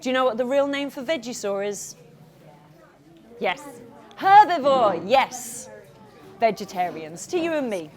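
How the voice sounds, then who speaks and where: A woman reading her storybook live to children in an animated, interactive way, pausing to address them and ask questions. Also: fairly high pitch. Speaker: children's author reading own book. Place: room with an audience of children.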